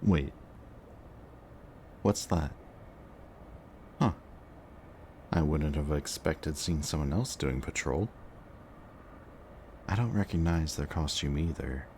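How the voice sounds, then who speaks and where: A very deep, slow-talking young man acting as a sardonic villain from an audio drama. He is cocky and teasing, with muttered casual asides and a kinder streak underneath. Faint noise sits behind the voice. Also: a little mellow. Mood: grumbling, bored. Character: cocky male antihero villain, sarcastic, teasing, secretly kind.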